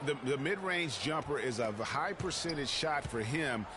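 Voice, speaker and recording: A man's voice: on the high side, lively intonation, normal speed, loud music behind the voice, close to an everyday voice. A man voicing a folksy, opinionated color commentator in a basketball video game. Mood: analytical.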